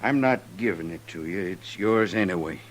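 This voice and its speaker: An American man playing a blunt, greedy land grabber in an old-time radio western, speaking deliberately. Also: low voice, lively intonation. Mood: grave, confessing. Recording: old radio recording with hum and hiss.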